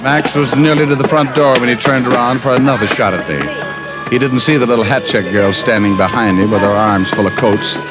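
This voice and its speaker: An actor playing a hard-boiled private detective who narrates with deadpan wisecracks in a 1950s radio drama. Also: male voice, medium pitch, fairly quick, close to an everyday voice. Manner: wisecracking, deadpan tough-guy patter. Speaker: narrator and lead. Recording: old radio recording with band music.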